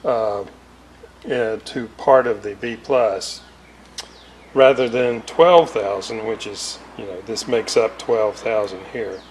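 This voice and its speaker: Slow-talking man, distant in a roomy space. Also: on the low side, darkish tone. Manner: hesitant, thinking aloud in broken phrases. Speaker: hobbyist radio restorer presenting a repair series. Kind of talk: casual unscripted technical explanation. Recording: roomy, distant mic, clear ambient noise.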